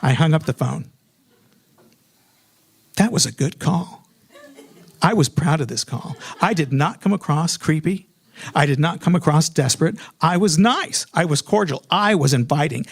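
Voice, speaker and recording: Higher-voiced American man telling a comic story. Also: moderate pace, vocal fry, long pauses, slightly rough. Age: middle-aged. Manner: animated, self-mocking, acts out remembered dialogue. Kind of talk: live personal storytelling, comedic.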